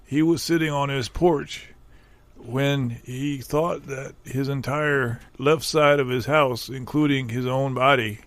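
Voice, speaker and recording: A man playing an earnest, hapless researcher in improvised comedy, halting and pedantic, with a creaky voice. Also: medium pitch, steady tone, a bit bright, no echo. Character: earnest hapless male researcher defending absurd wind theories.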